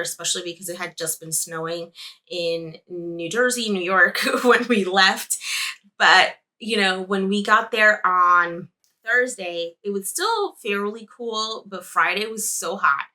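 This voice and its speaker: A woman's voice: medium pitch, pushed and bright. A woman whose first language is Spanish, hosting a podcast and chatting in a rambling way about a trip, correcting herself and laughing often. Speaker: podcast host. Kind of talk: casual travel recap.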